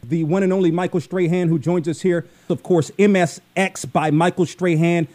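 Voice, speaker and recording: A man's voice: sits high, steady tone, normal speed, warm tone. A man hosting a radio interview show, emphatic and enthusiastic as he asks his questions. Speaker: radio host.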